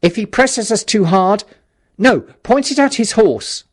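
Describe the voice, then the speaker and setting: An urgent, resolute man acted out by a male audiobook narrator calls out commands amid panic. His voice is pushed high and forceful, as in a dramatic storybook reading. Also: expressive, unhurried. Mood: tense, commanding.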